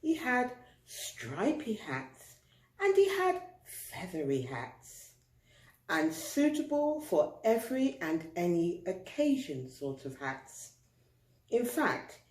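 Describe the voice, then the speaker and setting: A woman reading a picture book aloud to children, expressive and deliberate, drawing out words for emphasis. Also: medium pitch. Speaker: storyteller. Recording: roomy, echoing indoor sound.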